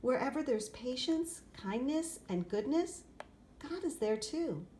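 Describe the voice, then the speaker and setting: A woman voicing a wise, gentle storybook grandmother who instructs her grandchild in measured phrases. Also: sits high, animated, pitched above the performer's own voice. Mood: gentle, instructive. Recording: clear background noise, room ambience.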